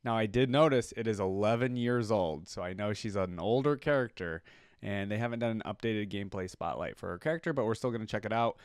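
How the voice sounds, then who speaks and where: A man's voice: lowish voice, fast, smooth, clean studio sound. A man doing casual reaction commentary as a host, thinking aloud and echoing what he hears.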